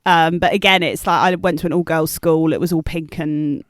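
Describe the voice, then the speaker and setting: A woman's voice: low-pitched, fairly even intonation, moderate pace, dry recording, clean studio sound. A woman in her thirties co-hosting a podcast, talking casually about film. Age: 30s. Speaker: podcast co-host.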